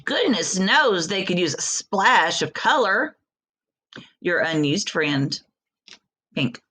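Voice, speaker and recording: A woman's voice: fairly low pitch, expressive, slow pace, pushed and bright, husky, exaggerated voice. A woman voicing a miffed, neglected crayon in a storybook, blunt, confrontational and sarcastic.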